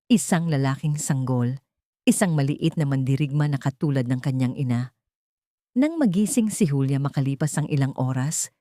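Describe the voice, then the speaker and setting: Low, mellow woman storyteller. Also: no echo, studio quality. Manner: measured, dramatic. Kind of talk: scripted dramatic audiobook narration.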